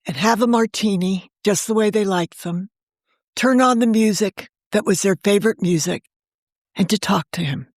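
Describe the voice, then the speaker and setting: A woman being interviewed on a podcast, telling a personal story in a warm, fond and gently reflective way. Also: medium pitch, moderate pace, no echo, studio quality. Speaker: guest, novelist and podcast creator. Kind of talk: conversational storytelling.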